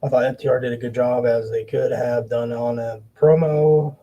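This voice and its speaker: A man hosting a wrestling podcast, giving blunt, profane opinions in casual commentary, with halting restarts. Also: mid-range voice, fairly even intonation, warm tone, muffled recording.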